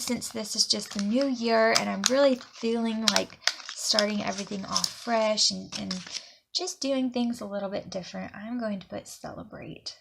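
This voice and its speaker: A sniffly woman with a gravelly, creaky edge chats her way through a crafting session, rambling and slipping into soft near-whispers. She is recorded at home with steady clicks and noise in the background. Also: medium pitch, low-quality recording. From Alabama, USA. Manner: chatty, rambling, drops to soft near-whispers while working. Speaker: planner vlogger. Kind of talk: casual crafting talk to camera.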